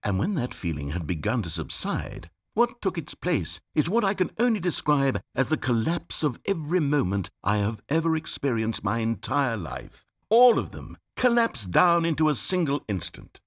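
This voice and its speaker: A brooding, grandiose game narrator. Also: male voice, medium pitch, wildly swooping pitch, breathy edge, heavily acted. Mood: melancholic. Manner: measured, dramatic and theatrical.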